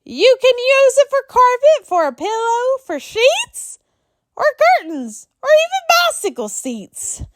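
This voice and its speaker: A woman voicing a greedy, boastful male tradesman in a storybook, excitable and dismissive. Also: extremely high pitch, measured pace, dry recording, pitched above the performer's own voice, exaggerated voice. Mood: eager, boastful. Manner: excitable, self-justifying, boastful.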